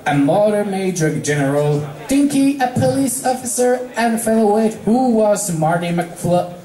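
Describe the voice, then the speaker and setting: An amateur reader narrates a fan-written story with a lively, swinging pitch. The reading is halting, with stumbles over words, and the room adds noticeable echo. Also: distant mic. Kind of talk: amateur fan fiction narration, read aloud. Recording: echoey room.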